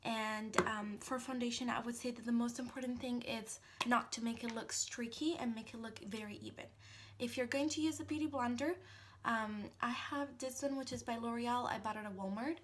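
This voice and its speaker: A woman's voice: a little high, fairly even intonation, moderate pace, a little hiss or hum. A woman in her thirties from Italy with an Italian accent, casually talking through a makeup routine, full of ums and small restarts. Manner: chatty, with frequent ums and restarts. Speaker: makeup tutorial host. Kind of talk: casual how-to narration.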